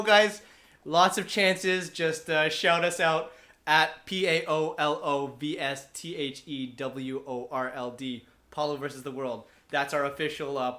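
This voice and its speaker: A man with a bright, forceful voice sits slightly high in pitch. He co-hosts a podcast in a casual, halting style, filling gaps as he goes. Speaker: podcast co-host. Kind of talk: casual chat.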